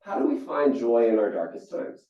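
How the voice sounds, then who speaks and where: A young man from Singapore giving a keynote talk, telling stories in a lively way with many restarts. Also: fairly quick. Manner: storytelling, animated with jumps in pitch and frequent restarts. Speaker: keynote speaker. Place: conference hall. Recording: echoey hall, distant and dull.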